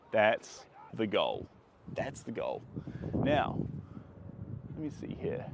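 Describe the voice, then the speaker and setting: A young man teaching painting on a live stream, enthusiastic and chatty, his voice a bit strained by the wind, recorded on a phone outdoors on a beach. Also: lowish voice. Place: sandy beach outdoors on a sunny day. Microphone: phone held at arm's length. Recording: phone audio with clear wind and outdoor noise.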